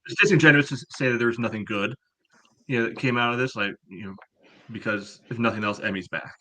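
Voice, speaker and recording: A man's voice: medium pitch, fast, bright, forceful tone, low-quality recording. A man co-hosting a casual soccer podcast, giving opinionated, analytical takes in a conversational way. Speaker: podcast co-host.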